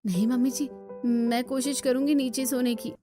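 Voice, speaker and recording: A woman's voice: high-pitched, fairly even intonation, moderate pace, dark tone, faint music behind, natural voice. A woman voicing a polite, questioning young city-bred bride in a cartoon, respectful and earnest.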